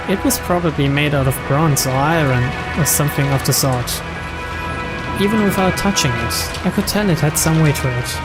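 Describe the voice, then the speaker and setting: A man reading a story aloud as a dramatic scripted narration. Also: fairly high pitch. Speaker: narrator reading a visual novel's translated text aloud.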